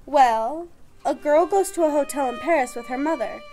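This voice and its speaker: A woman playing an excitable, anxious young newlywed bride in a radio horror play. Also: high-pitched, moderate pace, lightly acted. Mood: uneasy, fascinated. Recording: music under the voice.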